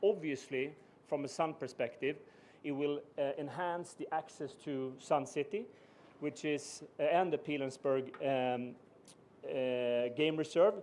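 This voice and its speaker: A middle-aged man with a Swedish accent giving a measured, formal speech as a business executive, pausing often on "uh". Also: medium pitch, darkish tone, a little hiss or hum. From Sweden. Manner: measured, with frequent "uh" pauses. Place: ceremony venue.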